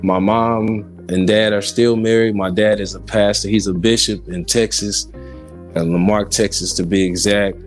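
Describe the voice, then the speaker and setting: A man in his forties with an American accent speaks in a low voice. He is a guest giving personal testimony in an interview. Guitar music plays softly behind his words. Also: fairly even intonation, normal speed. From Kansas City, Missouri, United States. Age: 40s.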